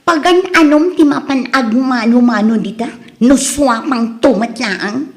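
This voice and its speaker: A woman's voice: high voice, a little slow. A woman playing a haughty, proud young wife in an Ilocano radio drama, with an Ilocano accent. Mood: indignant. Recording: roomy echo.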